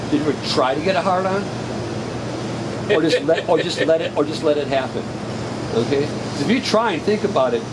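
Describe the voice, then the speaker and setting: A man giving informal acting coaching in a halting, rambling way, with some profanity. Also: a little high. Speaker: acting coach at a table read. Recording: very noisy room with hum.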